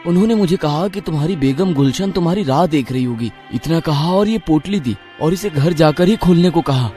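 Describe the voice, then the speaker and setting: A man acts out a poor, kind-hearted villager for a cartoon. His voice is fairly high for a man and soft-toned, with an earnest, expressive delivery over music. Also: fairly quick, close to an everyday voice. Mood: puzzled. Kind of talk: Hindi moral cartoon dubbing.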